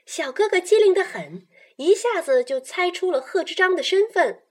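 A woman narrating a children's radio play as a storyteller, in an animated style. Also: extremely high pitch, moderate pace.

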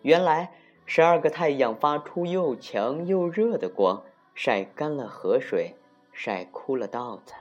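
A woman narrating a folktale for children as an expressive storyteller, deliberate and with dramatic emphasis. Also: extremely low pitch. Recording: muffled lo-fi recording with music.

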